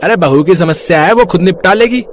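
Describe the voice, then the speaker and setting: A man's voice: sits high, a little fast, background noise, close to an everyday voice. A man playing a chatty, gossiping village man in acted story dialogue. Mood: teasing.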